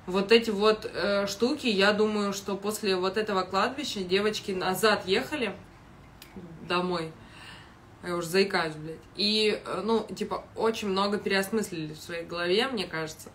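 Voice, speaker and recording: Blunt young woman with a bright voice. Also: mid-range voice. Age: young adult. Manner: blunt, heavily sweary, halting delivery. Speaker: reality show commentator. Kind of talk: casual vlog commentary. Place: small kitchen indoors. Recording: noticeable background noise.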